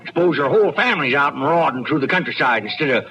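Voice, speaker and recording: A male actor playing a gruff, ornery but soft-streaked old frontier farmer with a folksy American rural Western drawl, in an old-time radio Western. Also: fairly high pitch, expressive, racing. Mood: angry, gruff. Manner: folksy, grumbling, blustering. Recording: muffled, faintly noisy vintage radio recording.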